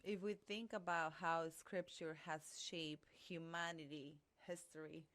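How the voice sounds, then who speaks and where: A woman co-hosting a casual podcast chat in English, her first language being Spanish, earnest and pausing to search for words. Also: low-pitched, steady tone, a bit bright, no room sound. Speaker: podcast co-host.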